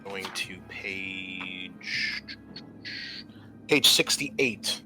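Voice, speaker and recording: A man with a fairly low, bright voice narrates a tabletop horror game as game master. He explains and describes haltingly, with music playing behind him.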